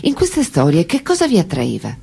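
Radio play: longtime lover, teasing and inquisitive, husky voice, frank questioning. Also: female voice, on the low side, wildly swooping pitch, normal speed, mellow, exaggerated voice. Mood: probing. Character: French intellectual woman, probing, ironic longtime lover questioning her man. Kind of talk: radio drama acting, interview-like dialogue.

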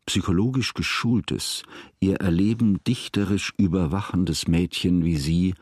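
An actor playing a learned, faintly scornful biographer, narrating a radio drama in a husky voice with bookish precision. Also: male voice, sits low, unhurried, darkish tone, no room sound, studio quality. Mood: reflective, wry.

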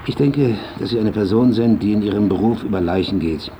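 An actor playing an aloof, irritable older writer, curt and brooding, in a German radio play. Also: male voice, fairly low pitch, a little fast, mellow, a little hiss or hum, lightly acted. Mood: irritated. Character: aging vain male writer, aloof, irritable, secretive.

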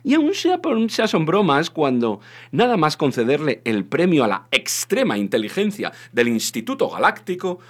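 Man, Spanish accent from Spain, very varied intonation, playful, theatrical storyteller. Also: on the high side. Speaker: show co-host and reader. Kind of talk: expressive reading of a comic science fiction novel.

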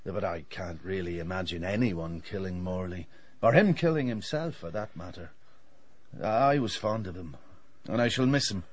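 An actor playing a flippant, sardonic dentist with a roguish streak in a radio drama. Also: male voice, low-pitched, moderate pace. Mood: frank, rueful. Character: wry, roguish, hard-drinking male dentist.

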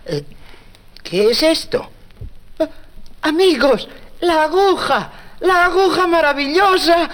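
Small storybook animal, exclaiming, very high, swooping, music behind. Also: female voice, animated, slow, pauses often. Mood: amazed, elated. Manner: exclaiming, wide-eyed. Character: excitable little animal friend, joyful discoverer.

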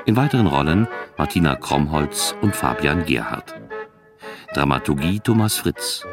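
A man announcing the title and credits of a radio drama in a scripted read. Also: extremely low pitch. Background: music.

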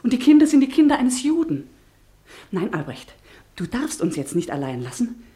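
Anguished wife, radio play, soft dark timbre, lively pitch. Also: female voice, moderate pace. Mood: pleading. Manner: pleading, rising to anguished outbursts. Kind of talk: 1950s-60s radio play acting.

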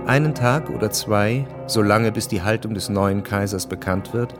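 A man narrating a scripted radio drama in a measured, matter-of-fact way. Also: on the low side, music in the background.

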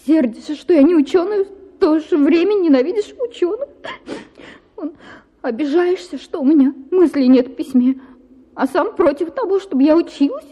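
An actress playing a simple young housewife, plaintive and reproachful, in a radio play. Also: female voice, very high-pitched, animated, normal speed, dark tone. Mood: hurt. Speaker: wife. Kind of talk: radio drama acting. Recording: dull radio audio in reverb with music.

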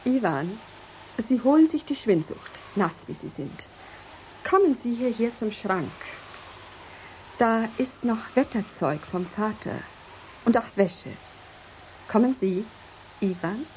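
An actress in a German radio drama playing a haughty, jealous lighthouse mistress, speaking in an imperious, formal and mocking way. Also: female voice, a little high, lively intonation, slow, long pauses, a little mellow, slight background noise. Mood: commanding.

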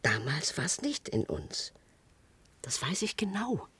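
An actor in a radio drama playing a forgetful, confined character who speaks in a dreamy, halting way. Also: mid-range voice, big pitch swings, a bit bright, slightly rough, exaggerated voice. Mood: hushed, uneasy.

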